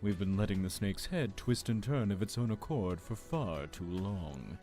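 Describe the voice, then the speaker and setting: Man acting a low, commanding lord, coolly calculating. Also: lively intonation, mellow, close to an everyday voice. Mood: measured, calculating. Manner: measured, formal, authoritative. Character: commanding male lord, calm, calculating leader. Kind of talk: dramatic audio drama acting. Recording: music under the voice.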